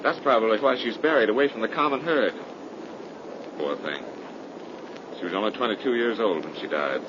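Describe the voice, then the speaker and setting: An actor playing a brash, sceptical young man, brisk and slangy, in a 1930s radio horror drama. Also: fairly low pitch, lightly acted. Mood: cheery, impatient. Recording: noisy, dull 1930s radio recording.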